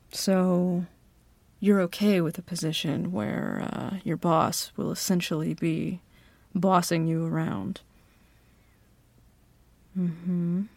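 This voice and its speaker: A woman playing a bossy, flirty company boss in an ASMR roleplay, speaking in a teasing, self-assured way with deliberate pauses. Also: on the low side, flat delivery, creaky, darkish tone, no room sound, heavily acted. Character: bossy, flirty, demanding female company boss interviewing an applicant.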